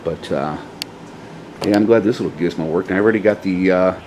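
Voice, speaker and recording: Deep-ish guy thinking out loud, casual rambly diy show and tell, noisy recording. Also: brisk. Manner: rambling, hesitant, informal. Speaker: DIY vlogger. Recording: clearly noisy, radio chatter at times.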